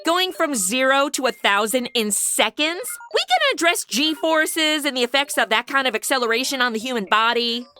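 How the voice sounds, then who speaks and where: A woman's voice: high-pitched, animated, normal speed, few pauses, pushed and bright, faint music behind. A woman playing Madison, a sarcastic modern young woman who makes wisecracking asides in a comedy audio drama. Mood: snarky.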